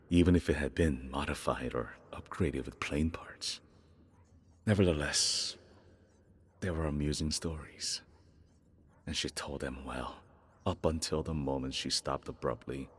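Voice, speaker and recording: A man voicing a grieving, remorseful father who reads his journal letters in a reflective, tender, measured manner for a game lore story. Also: a bass voice, slightly rough, voice pushed down, exaggerated voice. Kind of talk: dramatic reading of a game journal.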